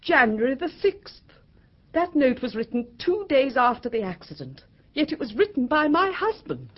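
An actress playing an anxious, insistent well-bred English wife in a vintage British radio drama, earnest and emphatic with some hesitations. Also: female voice, high voice, big pitch swings, normal speed. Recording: dull vintage radio recording.

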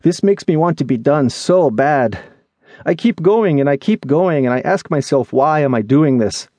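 A man voicing an excitable, weary and earnest male hiker friend in dramatic, pleading audiobook dialogue. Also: on the high side, normal speed, no echo, voice pushed up. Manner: exclamatory, pleading, questioning. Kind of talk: acted dialogue in audiobook memoir.

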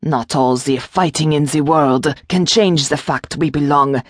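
A small, wry gargoyle demon played by a woman narrator speaks in a lilting French accent. The voice is low and husky, dry and close, with courtly, fretful phrasing fit for a fantasy audiobook. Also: measured pace, dry recording. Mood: resigned. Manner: formal, courtly phrasing with endearments.

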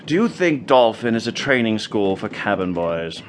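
A curt steamer captain. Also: male voice, on the low side, big pitch swings, normal speed, a little hiss or hum. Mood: stern. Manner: curt, commanding. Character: stern brisk male steamer captain. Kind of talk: radio drama acting.

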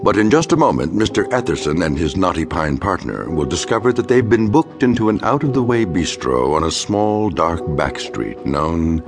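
Wry, ominous anthology narrator, American, low and dramatically inflected, measured radio narration over prominent music. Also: male voice, big pitch swings. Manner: measured, ornate, portentous.

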